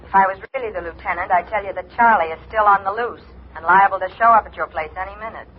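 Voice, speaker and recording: Taunting villainous caller, high voice, sarcastic endearments, muffled old radio drama. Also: male voice, fast talker, breathy edge. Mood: mocking menace. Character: mocking, menacing male caller toying with his victim. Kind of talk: old-time radio thriller acting.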